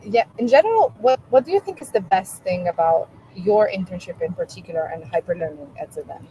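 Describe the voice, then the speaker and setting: A woman's voice: medium pitch, animated, brisk, dark tone, a little hiss or hum, rough recording. Chatty woman hosting a live stream. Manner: chatty, friendly, with small laughs and fillers. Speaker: host of a company live stream. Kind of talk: casual live-stream chat. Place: traveling, on a video call. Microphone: video call.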